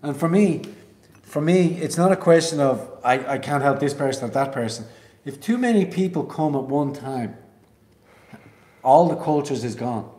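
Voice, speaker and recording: A man's voice: a little high, lively intonation, moderate pace, warm tone, reverberant. A man from Ireland hosting a casual live stream, talking bluntly and emphatically and swearing. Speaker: livestream host.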